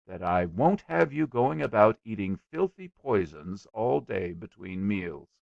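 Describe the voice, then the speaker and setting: A man's voice: fairly low pitch, very animated, measured pace, vocal fry, no room sound, dull audio, exaggerated voice. An irate storybook father, acted out by a male narrator, who bellows and barks his orders in a dry, close recording. He is middle-aged and short-tempered, with an explosive manner. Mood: exasperated, furious. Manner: roaring, explosive commands.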